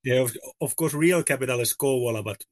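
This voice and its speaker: A man from Finland, a guest musician speaking haltingly with dry humor. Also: mid-range voice, no echo. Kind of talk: casual podcast chat.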